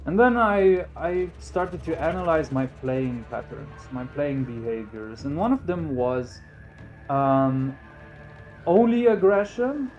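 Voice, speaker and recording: A young man talks in a relaxed, reflective way, as though thinking aloud. His voice sits a touch high for a man. Soft music plays quietly behind him. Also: slow pace, dull audio. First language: Romanian. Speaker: gaming YouTuber. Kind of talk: casual commentary over a game replay.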